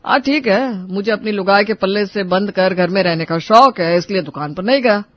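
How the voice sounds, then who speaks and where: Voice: mid-range voice, brisk, no room sound, close to an everyday voice. A performer voicing a sulky, hot-tempered young married man quarreling with his mother and wife, in a dry, dull lo-fi recording. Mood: stubborn. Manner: sulky, irritable.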